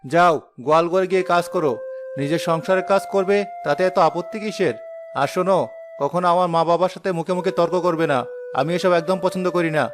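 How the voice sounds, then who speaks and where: A man's voice: high-pitched, steady tone, quick pace, lightly acted. A man voicing a callous young husband in a cartoon, curt and stern. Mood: irritated. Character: callous young husband, obedient to his mother. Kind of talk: cartoon voice acting. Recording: music behind the voice.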